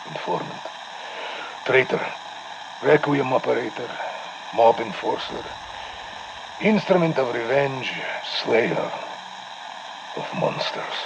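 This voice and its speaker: An actor playing a weary older Russian ex-special forces soldier with a Russian accent, recording a grave, reflective audio log for a game. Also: male voice, mid-range voice, slow pace, creaky, pauses often. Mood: weary, brooding. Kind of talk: scripted audio-log monologue. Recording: noisy radio audio log.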